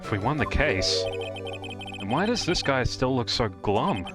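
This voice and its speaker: A woman's voice: extremely low pitch, very animated, a little slow, music in the background, exaggerated voice. A woman voicing a flustered, exasperated young male defense lawyer in a game fan dub. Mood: dry, irritated.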